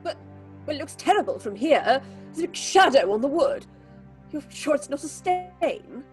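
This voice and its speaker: A woman acting in an audio horror drama as a proud, haunted theatre director, husky and commanding in a clipped, theatrical way. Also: sits high, sing-song, normal speed, soft background music. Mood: tense, curt. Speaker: actress.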